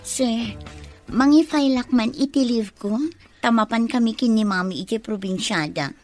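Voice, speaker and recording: Woman playing an eager, high-voiced Filipino daughter. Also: moderate pace, darkish tone, faint music behind, close to an everyday voice. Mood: polite, deferential. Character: eager young secretary, obedient daughter to her mother. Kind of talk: radio comedy drama acting.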